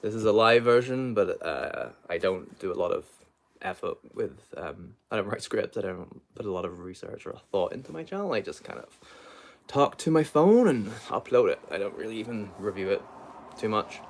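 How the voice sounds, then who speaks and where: A man's voice: lowish voice, lively intonation, normal speed. A man hosting an unscripted book livestream, chatting casually in a rambling, hesitant way and often trailing off mid-thought.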